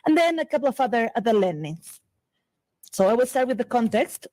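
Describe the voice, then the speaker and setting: A fairly high-voiced woman in her forties lectures at a conference in English, her Argentine accent and Spanish first language clear throughout. She speaks quickly and keeps restarting phrases and doubling up on words as she works toward her point. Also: sing-song, a little mellow, no room sound.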